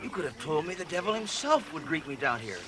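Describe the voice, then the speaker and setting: An actor playing an excitable male explorer in a seventies audio adventure drama. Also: a little high, quick pace. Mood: amazed. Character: male expedition member, excitable, amazed explorer. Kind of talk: 1970s full-cast audio adventure drama acting.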